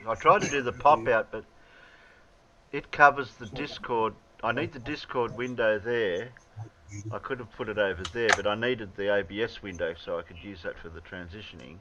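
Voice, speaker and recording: A man hosting a casual online chat, rambling about his streaming setup and trailing off quietly. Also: on the low side, moderate pace, background noise. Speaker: host streaming a group chat. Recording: dull lo-fi sound.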